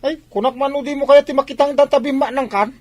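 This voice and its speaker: Casting: actor as excitable high-voiced male gossip at a warehouse, audio drama. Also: fast, dry recording. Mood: excited. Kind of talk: dialogue in an Ilocano audio drama.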